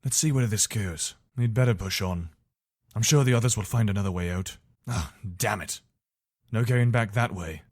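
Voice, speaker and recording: A man's voice: fairly low pitch, normal speed, no room sound, studio quality, natural voice. A man acting an earnest, dutiful fantasy soldier. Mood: wary, practical. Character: dutiful, weary but decent male imperial soldier. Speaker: voice actor for a game mod. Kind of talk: fantasy video game character dialogue.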